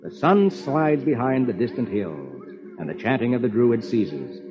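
A man's voice: mid-range voice, big pitch swings, a little slow. A man playing a genial reader of comic strips to children, with theatrical 1940s radio storytelling delivery. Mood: genial, dramatic. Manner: theatrical, dramatic. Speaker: host reading the comics. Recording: dull old radio recording with music.

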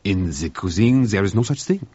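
A man voicing an indignant French head cook on a spaceship, with a French accent and a haughty, excitable manner. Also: on the low side, moderate pace, breathy edge, no echo, dull audio. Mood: disdainful.

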